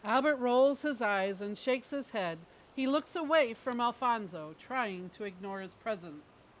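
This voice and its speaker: A woman's voice: fairly high pitch, expressive, a little slow. A woman narrating a scripted story as a storyteller, reading out the stage directions. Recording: clearly noisy background ambience.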